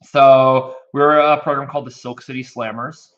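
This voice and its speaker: A man's voice: medium pitch, steady tone, normal speed, a bit bright. A man in his thirties from Connecticut, a youth baseball program director, chatting casually and rambling in an interview. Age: 30s. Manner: rambling, with frequent restarts and fillers. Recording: dull lo-fi audio.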